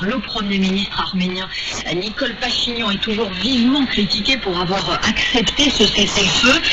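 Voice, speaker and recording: Female news anchor, French accent, mid-range voice, muffled shortwave reception buried in noise. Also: mid-range voice. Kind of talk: news bulletin reading. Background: heavy radio static.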